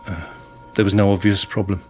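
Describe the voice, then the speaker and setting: An actor plays a male estate agent in a naturalistic radio drama. His voice sits low as he delivers polite, evasive salesman's patter, with faint music underneath. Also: level tone, a little fast, a little mellow, lightly acted. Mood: hesitant, defensive.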